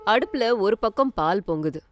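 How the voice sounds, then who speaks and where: A woman's voice: medium pitch, expressive, moderate pace, faint music behind. A woman narrating a scripted family story, speaking directly to her listeners as a storyteller.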